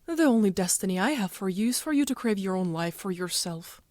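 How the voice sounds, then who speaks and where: A woman's voice: mid-range voice, lively intonation, a little fast, a little mellow, no room sound, close to an everyday voice. Woman as a coy, knowing dream spirit, dry close sound. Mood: calm, coy. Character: teasing, cryptic female dream-crafting spirit, calm and knowing. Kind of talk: animated comic dub acting.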